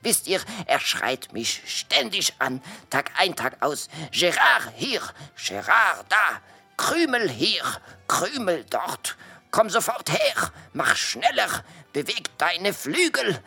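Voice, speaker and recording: A woman's voice: rumbling low, sing-song, takes it slowly, vocal fry, hardly any pauses, sharp tone, breathy edge, faint music behind, exaggerated voice. A woman playing an eager, emotional butterfly waiter with a French accent in a children's audio play, polite and plaintive in a theatrical way. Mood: upset, plaintive.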